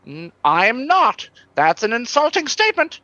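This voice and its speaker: Casting: woman to play slippery fast-talking male swindler, very high-pitched and animated, pleading, stammering, radio comedy. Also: no echo, exaggerated voice. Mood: defensive. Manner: stammering, wheedling. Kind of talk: comic radio play acting.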